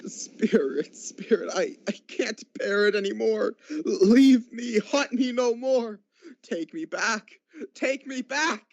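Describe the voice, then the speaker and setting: A high-voiced, frightened old miser played by a man pleads in slow, stammering phrases, as in a radio play. The recording is dry, dull and lo-fi. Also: expressive, vocal fry, dry recording, exaggerated voice. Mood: anguished pleading. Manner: stammering, halting. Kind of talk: amateur radio drama acting.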